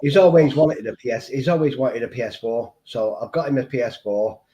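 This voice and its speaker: Mancunian man, livestream guest, mid-pitched, rambling, lo-fi dull audio. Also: a little fast, dark tone, soft background music. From Manchester, England. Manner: rambling, repeats words, frequent fillers. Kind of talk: casual livestream chat.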